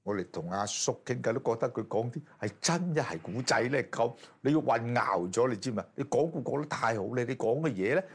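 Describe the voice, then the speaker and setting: Husky, animated man. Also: mid-range voice, fairly quick, hardly any pauses. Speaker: interviewee. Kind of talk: casual anecdotal chat.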